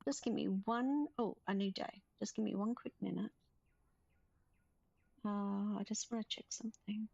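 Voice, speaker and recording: A woman's voice: mid-range voice, steady tone, normal speed, vocal fry, pauses often, darkish tone, no room sound, lo-fi sound. A woman streaming a video game playthrough, chatting casually and reading its text aloud.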